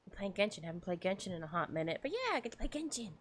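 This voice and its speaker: A woman's voice: mid-range voice, sing-song, rapid-fire. Giggly chatty woman streamer. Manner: chatty, giggly, greets viewers. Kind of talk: casual livestream chat.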